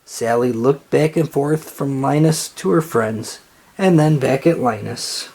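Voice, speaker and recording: A storybook reader narrating aloud for children in an expressive read-aloud style. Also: mid-range voice, measured pace, vocal fry, slightly rough.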